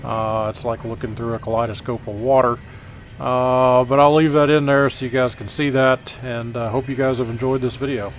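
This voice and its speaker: A man's voice: mid-range voice, flat delivery, normal speed. Casual man narrating over background noise. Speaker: host of a railfan video channel.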